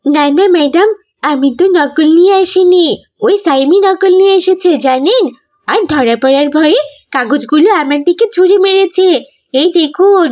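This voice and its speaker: A woman's voice: very high voice, moderate pace, a little mellow. A woman voicing a young, scheming schoolgirl in a children's cartoon. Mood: defensive, lying.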